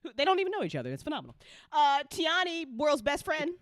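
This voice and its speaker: A woman hosts a relaxed, informal chat. She gushes and swears freely, repeating herself for emphasis. Her pitch is very lively, and the sound is dry. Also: fast, dry recording. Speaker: host, audio drama writer and creator. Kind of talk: casual chat, live after show.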